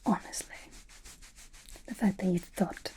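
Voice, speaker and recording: A woman playing an elegant, teasing female vampire noble in an ASMR roleplay, speaking in a soft, breathy whisper with a refined manner. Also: a little hiss or hum, close to an everyday voice. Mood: wry, amused. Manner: slow, refined, wryly teasing.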